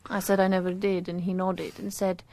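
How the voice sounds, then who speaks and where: An actress playing a detective's calm, teasing wife in a radio drama, unhurried and thoughtful. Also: female voice, on the low side, lightly acted. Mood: reflective, wry.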